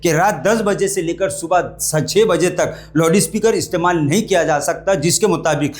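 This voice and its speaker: A man's voice: fairly high pitch, moderate pace, a little hiss or hum. A man from India presents a news report as anchor, formally addressing the viewers.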